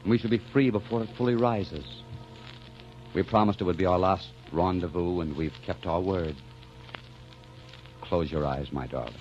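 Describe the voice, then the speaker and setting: A man's voice: low voice, moderate pace, long pauses, lightly acted. An actor playing a solemn, tender male lover resigned to his fate, grave and intimate, in 1940s radio drama style. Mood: grave, loving. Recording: dull old radio recording, soft music behind.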